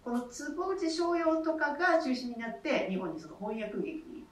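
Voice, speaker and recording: A woman's voice: high voice, sing-song, a little slow, distant mic. A woman in a theatre troupe chatting casually as co-host of a radio talk show. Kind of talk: casual, relaxed chat. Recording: echoey room, away from mic.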